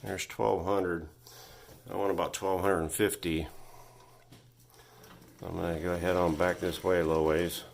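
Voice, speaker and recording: A man casually walking through a repair at his home workbench, thinking aloud with frequent pauses and ums, recorded on a phone on a tripod. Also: deep voice, flat delivery, creaky, slight background noise. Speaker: vintage electronics repair host. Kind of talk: casual workshop walkthrough. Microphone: phone camera on a tripod.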